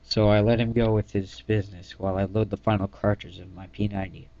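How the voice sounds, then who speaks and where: A man giving an amateur dramatic reading of his own story, halting and often stumbling and correcting himself. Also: lowish voice, flat delivery, mellow. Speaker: reader of own fan fiction. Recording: dull lo-fi mic in echoey room.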